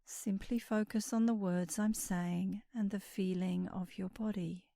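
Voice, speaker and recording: A woman instructor narrates a guided meditation for sleep. Her voice is mellow and relaxed, mid-pitched, and soothing. She speaks very slowly, letting phrases trail off into breath. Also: creaky.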